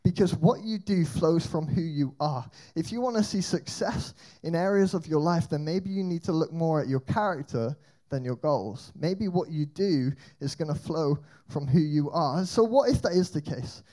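A young man speaks in a mellow, somewhat high voice, chatting like he's telling friends a story. He slips in rhetorical questions as he gives his faith talk in a church hall. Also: animated, moderate pace. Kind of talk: energetic youth talk.

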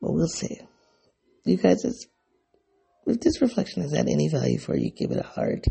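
A raspy, sleepy man speaks in a rambling, self-searching vlog monologue. His voice is deeply gravelly and husky, with a dark, mellow tone. His pitch swings widely as he muses. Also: wildly swooping pitch, moderate pace, faint music behind. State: sleepy, voice going. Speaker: vlogger. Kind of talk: personal reflective monologue.

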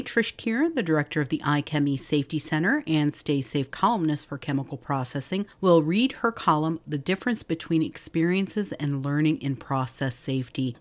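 A woman reading a scripted podcast introduction as the show's host. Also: sits low. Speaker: podcast host and magazine editor.

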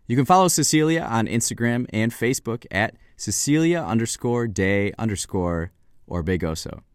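Male podcast host, low voice, dry close recording, easygoing interviewer. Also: dry recording. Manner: relaxed, friendly, some fillers.

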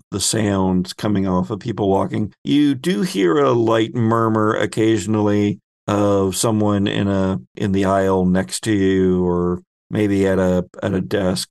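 A man narrating a tabletop role-playing game as game master, describing scenes in measured phrases with pauses. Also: lowish voice, gravelly, dry recording.

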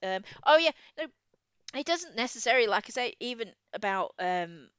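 Female co-host, effusive informal book chat, mid-pitched, dry but slightly muffled recording. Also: very animated, moderate pace, a bit bright, clear, resonant tone, dry recording. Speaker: podcast co-host.

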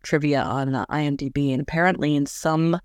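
A woman's voice: low voice, fairly even intonation, fairly quick, no echo, studio quality. A woman co-hosting a podcast, chatting casually and animatedly as she recaps a TV episode, laughing often. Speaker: podcast co-host.

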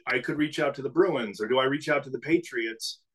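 Middle-aged New England man, relaxed guest with a rambling, easygoing delivery. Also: mid-range voice, a little fast, low-quality recording. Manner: relaxed storytelling with frequent restarts. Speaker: podcast guest, business CEO. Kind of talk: casual interview conversation.

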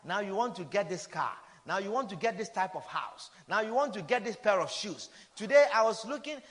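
A pastor in his fifties preaching a sermon, repeating his lines, calling out hallelujah, and swinging between loud declarations and quiet asides. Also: high voice. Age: 50s. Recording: long reverb of a large hall.

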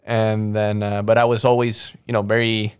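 A young man from Mexico speaks as a podcast guest. His voice is low and smooth. He wanders through his story with plenty of fillers. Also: little pitch movement, normal speed. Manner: rambling, many fillers and restarts. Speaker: guest, audio engineer. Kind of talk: casual interview chat.

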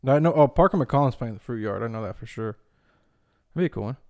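An easygoing young man talking music on a podcast, with an ordinary mid-range voice. He teases his co-host in a relaxed, chatty way. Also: medium pitch, fast talker. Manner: easygoing, teasing. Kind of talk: casual chat.

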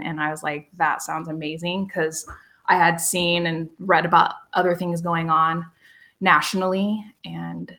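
A woman from southwest Missouri, a program director, speaking in a measured interview. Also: fairly low pitch, sharp tone. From Southwest Missouri, USA. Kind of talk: casual interview.

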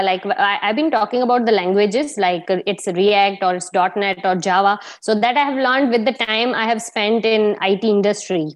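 A woman from India with an Indian accent, working in sales and marketing, chatting over a video call and often repeating words. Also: mid-range voice. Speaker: sales and marketing professional, guest. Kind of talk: casual video-call conversation.

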